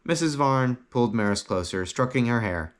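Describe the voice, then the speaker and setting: A man narrates a scripted fantasy tale in a middle-pitched voice. His intonation swings widely as he reads dramatically, phrase by phrase. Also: lively intonation, normal speed. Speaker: story narrator.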